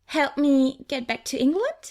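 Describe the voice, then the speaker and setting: A woman's voice: high-pitched, lively intonation, unhurried, darkish tone, voice pushed up. A woman voicing an indignant, bewildered teenage girl in a storybook, snappy and protesting. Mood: hopeful.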